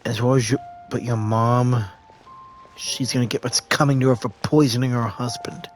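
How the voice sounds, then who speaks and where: A man casually commenting while playing a game, excitable and brash with hyped reactions. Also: mid-range voice, moderate pace, soft background music. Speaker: game streamer.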